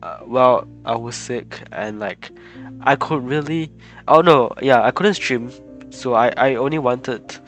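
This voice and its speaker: A man's voice: medium pitch, unhurried, fairly bright tone. Chatty male game streamer. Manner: informal, rambling, chatty. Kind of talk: casual live gameplay commentary. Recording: game music behind the voice.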